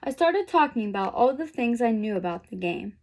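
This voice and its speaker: A woman's voice: fairly high pitch, big pitch swings, brisk, darkish tone, a little hiss or hum. A woman voicing a sensitive, misunderstood young boy zebra in a picture book, plaintive and earnest. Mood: earnest, troubled.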